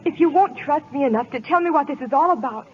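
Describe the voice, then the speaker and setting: Super high young american sweetheart, scolding and dramatic, muffled noisy old radio recording. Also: female voice, expressive, fast. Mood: accusing, hurt. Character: spirited, suspicious young American sweetheart, sharp-tongued but fond. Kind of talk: 1940s-50s American radio acting.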